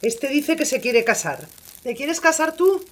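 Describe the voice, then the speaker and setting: An actress playing a blunt, bossy village mother in a radio drama, brisk and commanding. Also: high voice, sing-song. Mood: satisfied, bossy. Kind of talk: radio play acting.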